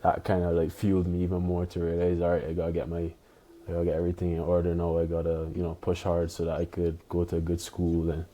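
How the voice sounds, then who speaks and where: Young guy from barbados, deep voice, kinda monotone, easygoing podcast chat with lots of you knows. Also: fast talker. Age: 20s. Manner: relaxed, many fillers and "you know". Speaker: guest, professional tennis player. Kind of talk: casual interview chat.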